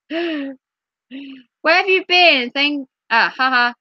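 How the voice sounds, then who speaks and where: A woman livestreamer chatting playfully with her viewers, her voice going a little hoarse after hours of talking. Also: sits high, very slow, sharp tone, dry recording, lo-fi sound. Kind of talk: casual chat with viewers.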